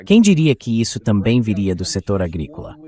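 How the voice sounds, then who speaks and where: A man's voice: on the low side, sing-song, brisk, mellow, breathy edge, dry recording. A man as the translated voice of an interview host, talking through political commentary in a conversational, wordy way.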